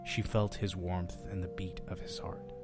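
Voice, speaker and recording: Audio drama innkeeper, male actor: low voice, friendly but mysterious, folksy storyteller who persuades earnestly, soft music bed. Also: little pitch movement, normal speed, lightly acted. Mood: hushed, solemn storytelling. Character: mysterious, friendly all-seeing innkeeper who tells stories.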